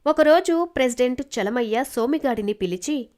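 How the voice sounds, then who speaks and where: A woman's voice: sits high, animated, normal speed, darkish tone. A woman narrator reading a scripted moral story.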